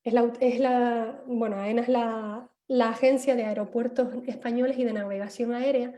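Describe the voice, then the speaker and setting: A woman gives her part of an online panel over a video call. Her voice sits fairly high yet sounds dark and mellow. She talks haltingly, with many fillers and restarts. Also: fairly even intonation. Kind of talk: online seminar talk.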